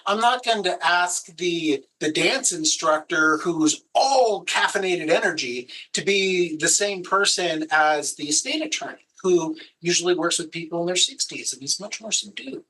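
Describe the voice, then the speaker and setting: A man with a high, bright voice chats casually about working on camera, handing out practical tips at an easygoing pace. He sounds like a video producer at ease with his guest. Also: expressive, moderate pace, hardly any pauses, poor audio. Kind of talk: casual advice conversation.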